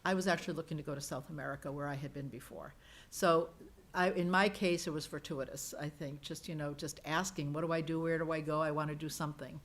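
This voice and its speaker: A middle-aged woman with a quite low voice speaks on a panel. She tells an anecdote in a relaxed, storytelling way. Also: fast. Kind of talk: panel discussion.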